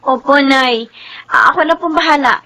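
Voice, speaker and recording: Meek filipino daughter, high girly voice, obedient, radio drama style. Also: moderate pace, pushed and bright, low-quality recording. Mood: apologetic, eager. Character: dutiful, meek young adult daughter.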